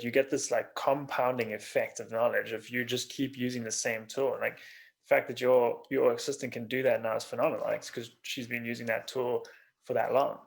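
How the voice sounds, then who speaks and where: A man hosting a conversational podcast interview, halting as he pieces his questions together. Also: medium pitch, gravelly. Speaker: podcast host interviewing a guest. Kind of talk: casual interview chat.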